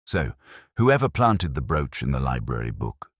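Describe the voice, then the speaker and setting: A man's voice: very deep voice, sing-song, moderate pace, breathy edge, dry recording, clean studio sound, voice pushed down, heavily acted. A man voicing an eager, restless police sergeant in a mystery story, earnest and full of questions. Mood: eager, speculative.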